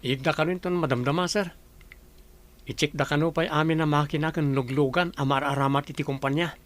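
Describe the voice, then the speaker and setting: Actor as high-voiced teasing Filipino office man. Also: fairly even intonation, fairly quick, lightly acted. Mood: respectful. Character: easygoing teasing male office subordinate. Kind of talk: Ilocano radio comedy drama acting.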